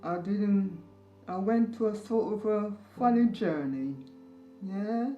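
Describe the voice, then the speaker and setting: A woman in her sixties telling her own story in an interview, slow and searching, pausing often. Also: medium pitch, soft background music. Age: 60s. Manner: slow, searching, with pauses and soft laughs. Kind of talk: reflective personal storytelling. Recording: roomy, slightly dull recording.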